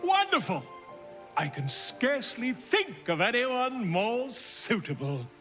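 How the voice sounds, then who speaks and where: A man's voice: very high voice, big pitch swings, slow pace, a bit bright, faint music behind, exaggerated voice. An actor playing a flamboyant, self-important male bard in a video game, speaking in a theatrical, grandiloquent storytelling manner. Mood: delighted. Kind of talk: video game character acting.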